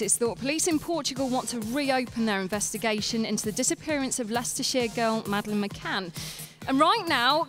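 A woman in her thirties reads radio news in a high-pitched voice. She moves between scripted bulletin delivery and casual speech, over a clear music bed. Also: a bit bright. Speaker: radio newsreader. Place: on stage in a hall before a live audience. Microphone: close broadcast microphone with headphones.

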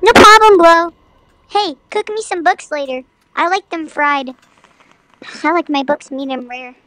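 A game streamer with a high-pitched voice-changer effect, chatting in a goofy, exclamatory way on a livestream. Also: a little slow, sharp tone, no room sound, rough recording.

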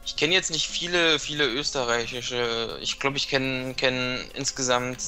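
Bright-voiced man giving game tips. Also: mid-range voice, steady tone, a little slow, faint music behind. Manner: explanatory, restarts sentences, gives tips. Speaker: guest co-commentator. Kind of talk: casual gaming chat.

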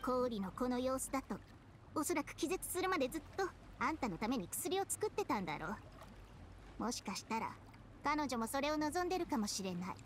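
A grave, blunt snake spirit. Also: female voice, high-pitched, very animated, fairly quick, a little hiss or hum. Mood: solemn, blunt. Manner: blunt, grave, matter-of-fact. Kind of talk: Japanese anime game acting. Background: background music.

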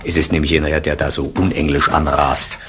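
An actor playing a proud, blustering English lord and castle owner in a 1970s German radio play, hearty in manner. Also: male voice, rumbling low, level tone, fairly quick, noisy background. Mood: sly, boastful.

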